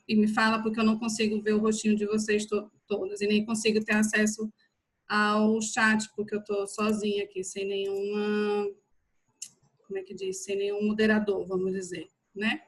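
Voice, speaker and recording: A woman's voice: medium pitch, rough recording. A Brazilian woman in her thirties giving an informal online lecture, talking to her listeners in a familiar, conversational way. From São Paulo state, Brazil. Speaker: physiotherapist teaching an online class.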